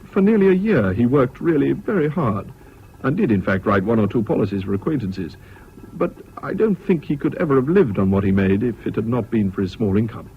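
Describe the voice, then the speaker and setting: An actor narrating a British radio drama in the first person as a reflective, dryly ironic Englishman with a measured manner. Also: mid-range voice, expressive, natural voice. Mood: wry, reflective. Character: wry, reflective male friend narrating the story. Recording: dull, hissy old radio recording.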